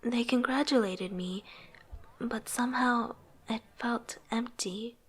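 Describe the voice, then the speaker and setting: An earnest young woman acting as a struggling artist narrates her own story in a motion comic. Her voice sits fairly high and is soft and breathy. She delivers scripted lines in an introspective, personal way. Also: takes it slowly, poor audio. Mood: reflective, weary. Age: young adult. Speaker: narrating lead character.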